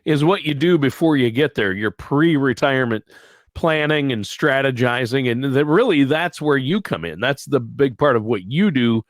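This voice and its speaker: A man's voice: medium pitch, expressive, normal speed, no echo, clean studio sound. A man co-hosting a finance talk show, chatting casually and prompting questions. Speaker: co-host.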